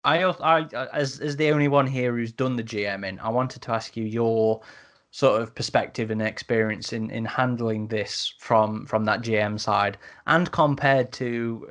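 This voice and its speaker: A man with an average-pitched voice hosting a relaxed group podcast. He talks in a halting, conversational way, often rewording his thought midway. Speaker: podcast host. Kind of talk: casual podcast discussion.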